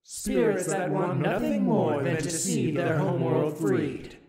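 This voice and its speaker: Slow, solemn, high-voiced ancient ruler. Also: male voice, expressive, over a noisy crowd. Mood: measured, explaining. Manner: grave, deliberate, theatrical pronouncements. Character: solemn, ancient otherworldly ruler, calmly justifying terrible deeds. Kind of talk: dramatic visual novel voice acting. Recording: echoing voice under loud music.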